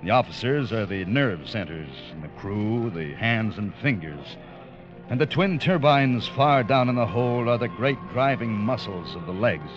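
An actor playing a stiff, dutiful first officer of an ocean liner in a 1940s radio drama, earnest and formal. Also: male voice, on the low side, expressive, unhurried. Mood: reflective, earnest. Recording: muffled old radio broadcast, music behind.

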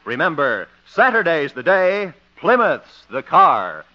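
A man's voice: on the high side, sing-song, slow pace, over loud music. A male radio announcer delivering scripted ads and narration in a punchy, polished, emphatic 1950s style. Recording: dull vintage 1950s radio recording.

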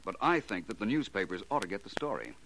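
A suave radio detective with a forceful, lowish voice that moves expressively up and down. He is urbane, courteous and sure of his reasoning, in an old 1940s radio mystery. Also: very animated, fast talker, slight background noise, rough recording. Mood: assured, wry. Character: suave, confident male amateur detective, coolly reasoning. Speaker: radio actor. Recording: vintage 1940s radio recording.

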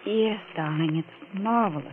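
An actress playing an anxious, placating wife in a 1940s radio melodrama, earnest and pleading in manner. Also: female voice, medium pitch, measured pace, natural voice. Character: anxious, placating wife hiding her worry. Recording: scratchy, narrow-band 1940s radio recording.

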